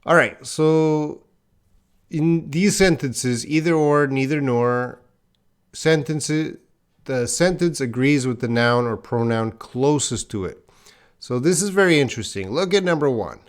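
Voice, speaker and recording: A slow, unhurried man from Canada teaches English grammar in a live online class. He explains the rules patiently and stops to answer viewers' comments as they come in. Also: medium pitch.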